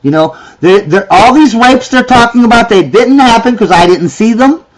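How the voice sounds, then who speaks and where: High-voiced ranting man. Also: lively intonation, normal speed, low-quality recording. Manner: ranting, sarcastic, repeats himself. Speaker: commentator. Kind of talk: reaction commentary.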